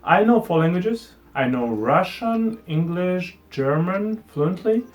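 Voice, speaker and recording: A man's voice: high voice, animated, slow, muffled recording. A young man, a native Ukrainian speaker with an accent, chatting casually to camera in his vlog with a self-deprecating, friendly manner. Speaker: vlogger. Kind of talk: casual talking-head vlog.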